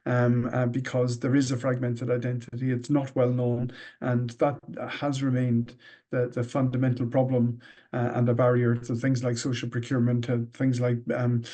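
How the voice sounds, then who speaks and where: Irish guy, middle-aged, flat steady voice, lots of uhs while he thinks. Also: mid-range voice, moderate pace. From Ireland. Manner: hesitant, many ums and uhs, restarts. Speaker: guest, managing director. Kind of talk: interview answers.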